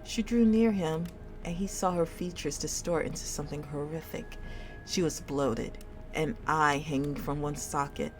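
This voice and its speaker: A woman reading a game's story aloud as a storyteller. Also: deep voice. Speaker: video game streamer reading dialogue aloud. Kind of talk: storytelling read-aloud of a dating sim game. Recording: music behind the voice. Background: music.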